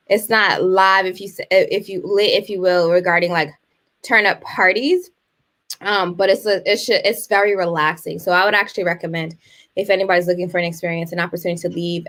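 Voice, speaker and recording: A woman in her thirties co-hosting a casual chat show, telling stories animatedly and jumping up high when she exclaims. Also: a bit bright. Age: 30s. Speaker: co-host.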